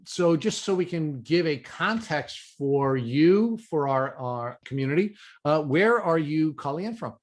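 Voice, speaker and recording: An American man from New York hosting a podcast interview, speaking in deliberate, formally phrased sentences. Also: on the high side, animated. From New York, USA.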